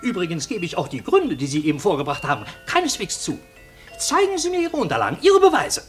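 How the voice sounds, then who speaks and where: An actor playing a tiny, irascible old male shopkeeper in a German radio play, with a thin, husky voice and a pompous, indignant, sputtering manner. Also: mid-range voice, huge pitch swings, fast talker, faint music behind. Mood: indignant, agitated.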